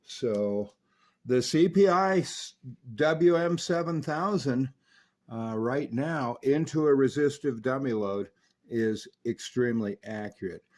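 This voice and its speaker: A man's voice: medium pitch, slow, a little mellow. A man giving an unscripted, hands-on technical demonstration of equipment, explaining each step methodically. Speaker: radio restorer demonstrating equipment.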